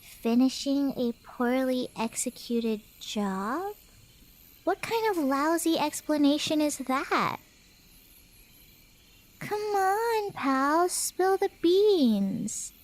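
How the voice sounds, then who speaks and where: Girl voice actor doing a playful cheshire cat girl, slow asmr roleplay, high voice, teasing and slangy. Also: lively intonation, heavily acted. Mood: prying, teasing.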